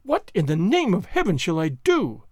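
A man voicing an obsessive, excitable gentleman who gives urgent, impatient orders in formal diction for a storybook reading. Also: high voice, moderate pace, no echo, studio quality, heavily acted. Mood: distressed.

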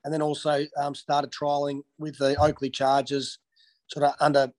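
A man's voice: fairly high pitch, monotone, normal speed, a little mellow, poor audio. An Australian man from Western Australia in his thirties, answering interview questions casually with many ums and restarts. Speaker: interviewee, former footballer.

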